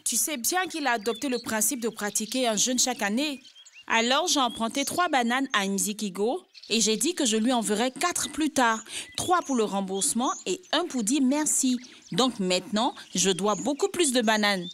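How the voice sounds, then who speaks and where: A woman voicing a friendly, eager young girl in a dubbed educational cartoon, explaining things earnestly and clearly. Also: on the high side, animated, moderate pace, fairly bright tone, a little hiss or hum. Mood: earnest, worried. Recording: music behind the voice.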